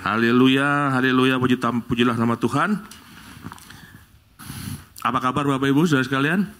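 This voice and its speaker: Man, middle-aged, from Bali, church sermon, lively, loud exclamations. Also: mid-range voice, moderate pace, soft background music. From Bali, Indonesia. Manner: lively, rising to loud exclamations, jokes with the congregation. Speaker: guest preacher. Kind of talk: opening prayer then sermon. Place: church service.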